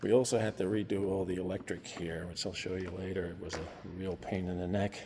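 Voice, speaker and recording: A man with a low, fairly flat voice presents a project in an informal, explanatory way. He narrates as if giving a casual tour of a large auditorium. Clear background noise runs under his speech. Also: brisk. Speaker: nonprofit staffer presenting a project. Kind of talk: casual walkthrough video narration. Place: large school auditorium with balcony, indoors.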